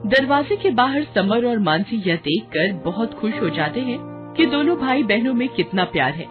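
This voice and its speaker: A woman narrating a scripted children's horror story as an expressive storyteller. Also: a little high, moderate pace, narrow, tinny audio. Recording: loud music under the voice.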